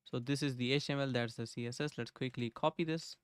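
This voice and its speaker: A man's voice: medium pitch, steady tone, fast talker, clean tone, dry recording, clean studio sound. Man live-coding and thinking aloud. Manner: thinking aloud while typing, greets chat viewers. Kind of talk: casual live coding chat. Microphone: desk microphone.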